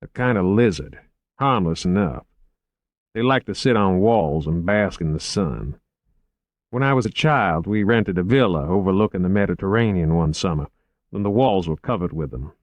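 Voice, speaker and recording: Man voicing urbane, low-voiced, drily witty investigator. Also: lively intonation, dry recording, highs cut off. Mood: relaxed, wry. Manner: genteel, measured, dry. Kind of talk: audiobook character acting.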